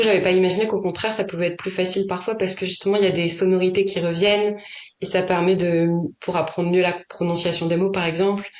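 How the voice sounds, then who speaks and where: Woman guest, lowish voice, searching for words with many restarts; roomy echo. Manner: thinks aloud, hesitant, many restarts and fillers. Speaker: poet and author, podcast guest. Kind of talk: casual conversation.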